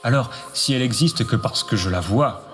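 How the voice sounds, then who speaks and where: A man's voice: mid-range voice, normal speed. A man delivering a scripted, poetic radio monologue with music behind him. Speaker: narrator.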